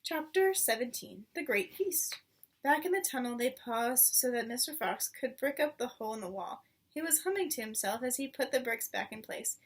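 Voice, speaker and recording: A woman reading a children's book aloud with expressive narration. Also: on the high side, normal speed, husky, far from the mic. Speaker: storybook reader.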